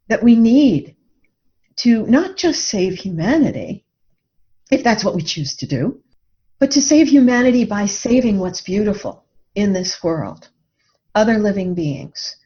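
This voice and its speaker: A woman's voice: mid-range voice, mellow. Reflective woman on a dull lo-fi recording. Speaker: podcast guest, writer and coach. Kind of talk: reflective interview talk. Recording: dull lo-fi audio.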